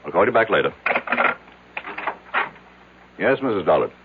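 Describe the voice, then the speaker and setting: Imperious, deep-voiced business tycoon. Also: male voice, big pitch swings, moderate pace, narrow, tinny audio, heavy background noise. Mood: commanding, businesslike. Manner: commanding, clipped, authoritative. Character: imperious, powerful male business tycoon, brusque and impatient. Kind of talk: radio drama acting. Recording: thin, band-limited old radio broadcast.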